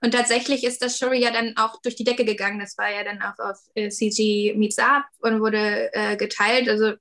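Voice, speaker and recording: A woman's voice: mid-range voice, level tone, normal speed, a bit bright. A woman in her thirties, a 3D artist talking casually in an interview, with lots of fillers and hedges. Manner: chatty, many fillers and hedges.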